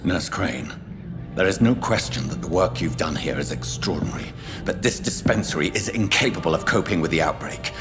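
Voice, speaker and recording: A grave gentleman doctor with a very low voice. He speaks in a measured, righteous way, as in a video game cut-scene, with music clearly underneath. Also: animated. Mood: resolute. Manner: formal, measured, stern. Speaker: game character voice actor. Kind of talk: video game drama acting.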